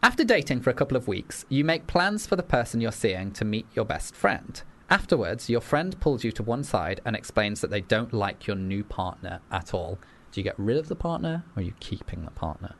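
A man with a fairly low voice hosts a relaxed conversation. He talks earnestly and with plenty of energy, agreeing readily and pushing his point along. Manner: earnest, animated, quick to agree. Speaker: podcast host. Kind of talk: casual conversational interview.

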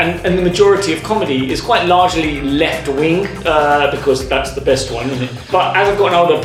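In a roomy-sounding space with music behind, a man talks in a fairly high voice. He chats casually and earnestly as an interview guest, circling back to rework phrases. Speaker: stand-up comedian guest.

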